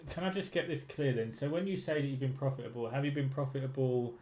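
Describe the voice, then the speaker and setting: A man's voice: medium pitch, fast, darkish tone, low-quality recording. A man working as a trading mentor, asking probing questions and giving affirming feedback in a casual one-to-one coaching call.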